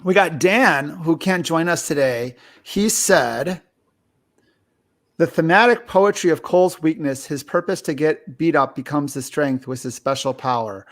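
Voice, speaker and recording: A man with a slightly high voice hosts a podcast. His delivery is that of a casual chat about movies. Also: measured pace, fairly bright tone. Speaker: podcast host. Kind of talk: casual film review discussion.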